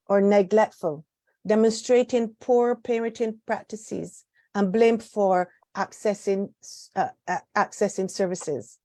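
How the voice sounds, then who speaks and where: Woman, Barbadian accent, guest speaker, measured prepared talk, mellow tone, dry sound. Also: medium pitch, no room sound.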